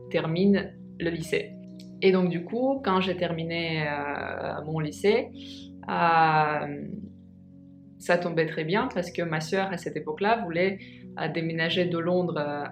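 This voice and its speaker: A woman in her twenties whose first language is Russian, talking in a casual vlog and pausing often with fillers and restarts. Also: low-pitched. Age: 20s. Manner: hesitant, many pauses and fillers. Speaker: vlogger. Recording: echoey room.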